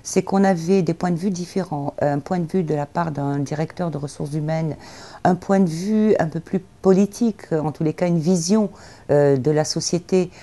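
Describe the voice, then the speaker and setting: Casting: woman, low mellow voice, articulate professional explainer in an unscripted interview. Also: normal speed. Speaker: local youth employment agency representative. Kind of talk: spoken interview recap of a public debate.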